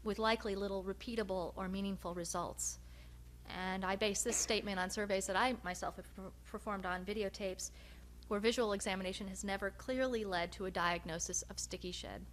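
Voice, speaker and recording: A woman researcher giving a research presentation, speaking in a measured, hesitant way with frequent pauses. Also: mid-range voice, fairly even intonation. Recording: noticeable background hum and noise.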